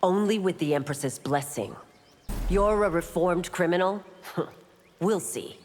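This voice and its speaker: An actress playing a stern, authoritative officer of the law in a fantasy fighting game, firm, formal and skeptical. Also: female voice, lowish voice, unhurried, a little mellow, in a large hall, slight background noise, natural voice. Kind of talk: video game pre-fight banter.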